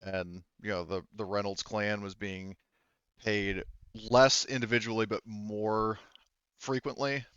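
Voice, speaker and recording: Smooth low-voiced guy gm-ing a tabletop game, thinking out loud, dry slightly muffled audio. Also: little pitch movement, slow, dry recording. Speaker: game master. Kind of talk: improvised tabletop game narration and casual chat.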